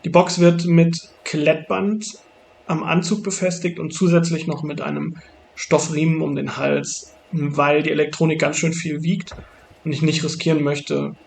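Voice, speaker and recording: A man's voice: a little high, flat delivery, a little slow, creaky, a little mellow, slight background noise. A man giving a casual voice-over as the maker in a hands-on build video.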